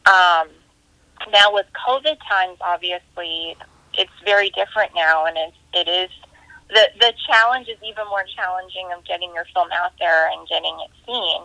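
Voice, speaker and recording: A woman's voice: fairly low pitch, moderate pace, gravelly, pushed and bright, dry recording, low-quality recording. A woman filmmaker giving thoughtful, conversational answers in a casual interview. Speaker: independent filmmaker being interviewed.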